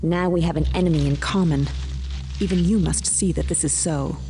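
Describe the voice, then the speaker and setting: An actress playing a proud, mystical pagan leader in a fantasy game, speaking with solemn, formal authority. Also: female voice, low voice, fairly even intonation, moderate pace, warm tone, a little hiss or hum, lightly acted. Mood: commanding. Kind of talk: scripted fantasy game dialogue. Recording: game soundtrack music behind.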